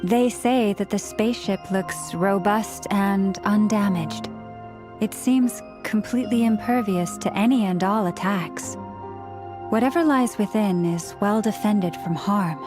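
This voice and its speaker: A woman playing a gentle, composed young woman in a video game, speaking in a measured, formal, polite way. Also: medium pitch, over music, natural voice. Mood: calm, explaining.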